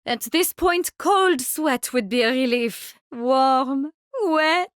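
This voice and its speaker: An actress playing a giddy, macabre mad-scientist fungus doctor in a video game, theatrical and genteel, with a French accent. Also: female voice, high-pitched, slow, dry recording, studio quality. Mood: wistful, grumbling. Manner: theatrical, gleefully morbid, genteel wording. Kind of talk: video game hero voice lines.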